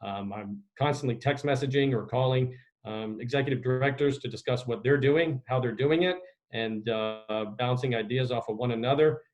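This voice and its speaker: A man speaking as an executive director answering media questions on a conference call, in a measured, formal, official way. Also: medium pitch, poor audio. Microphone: video conference call.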